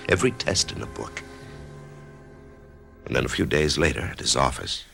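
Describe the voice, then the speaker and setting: An actor playing a middle-aged American man facing death, introspective and halting, narrating his own ordeal in a radio drama with a gravelly voice. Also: rumbling low, expressive, sharp tone, faint music behind, exaggerated voice. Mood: somber, reflective. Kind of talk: radio drama acting with first-person narration.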